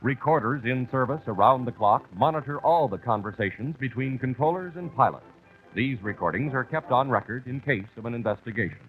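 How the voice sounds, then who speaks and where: A man's voice: mid-range voice, animated, fairly quick, soft background music. An American man reading scripted documentary narration on an old, muffled 1960s television soundtrack. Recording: muffled 1964 TV kinescope, narrow band.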